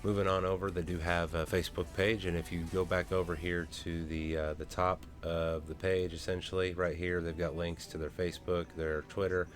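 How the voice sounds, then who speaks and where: A man's voice: low voice, flat delivery, moderate pace, few pauses. A man, casually talking through a crypto project on a screen share with many ums and uhs. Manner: rambling, many fillers. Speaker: crypto vlogger.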